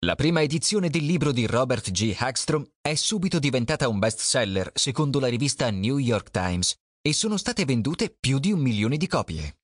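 A man reads a scripted audiobook presentation at a measured, steady pace. His pitch moves a lot from phrase to phrase. The recording is close and dry, with no room sound. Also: no room sound. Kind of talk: scripted narration.

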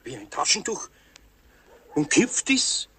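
A man's voice: fairly high pitch, sing-song, takes it slowly, bright, forceful tone, exaggerated voice. An actor playing a flustered older Bavarian master craftsman in a German radio play, blustering and folksy. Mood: flustered protesting.

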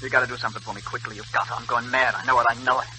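A man's voice: mid-range voice, fairly even intonation, very fast, bright, forceful tone. A man playing a desperate, tormented prisoner, stammering and pleading, in 1940s radio acting. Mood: frantic, pleading. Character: desperate, tormented male prisoner on a psychiatric ward. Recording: noisy, humming old radio recording.

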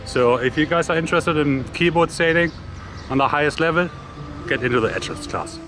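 A man's voice: on the high side, a little fast, fairly bright tone, slight background noise. A man from Germany, a sailor, speaking in a punchy, emphatic way. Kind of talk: interview answer. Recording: music over outdoor interview.

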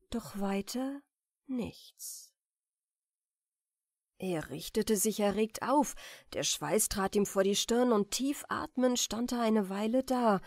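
A woman narrating a fairy tale as a bedtime audiobook, reading softly and soothingly with deliberate care. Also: medium pitch, no echo, studio quality. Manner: soft, soothing, deliberate storytelling.

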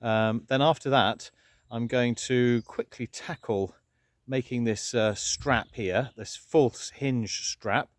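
A man's voice: mid-range voice, fairly even intonation, slow. A middle-aged man chatting casually as he works outdoors on a DIY project, explaining each step with ums and restarts, recorded on an action camera. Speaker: vlogger doing home DIY. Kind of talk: casual narration while working.

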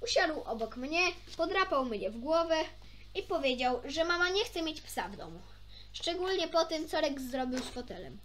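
A young woman with a high voice reads a children's story aloud. Her intonation moves a lot and her delivery is informal. She stumbles a little and slips into chatty asides. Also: big pitch swings, moderate pace, fairly bright tone, slight background noise. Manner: informal, stumbles over words and self-corrects. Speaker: amateur storybook reader.

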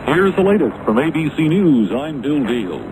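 Lively man reading radio news. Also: on the high side, normal speed. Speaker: radio newscaster. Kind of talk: news bulletin. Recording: noisy shortwave radio recording.

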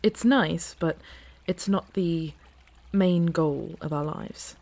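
A woman playing an earnest, playful dragon in human form in a fantasy ASMR roleplay, with a casual, teasing, halting delivery. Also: lowish voice, creaky, darkish tone, heavily acted.

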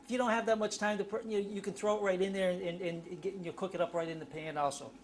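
Middle-aged male cooking host, keen and chatty, slightly high voice, noisy kitchen sound. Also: steady tone, fast. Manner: enthusiastic, talks while cooking, many fillers. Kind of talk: home cooking demonstration. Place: home kitchen.

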